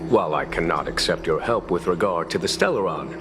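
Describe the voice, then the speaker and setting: An actor voicing a genial, shrewd general of knights in a video game, courteous and unhurried. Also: male voice, low-pitched. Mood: courteous, diplomatic. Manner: smooth diplomatic courtesy, relaxed authority. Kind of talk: scripted video game dialogue. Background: background music.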